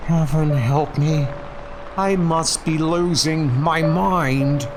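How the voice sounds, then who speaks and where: A man's voice: fairly high pitch, slow pace, background noise. A man playing a panicked, guilt-ridden crime boss in a comic book dub, frantic and pleading. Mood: shaken, determined.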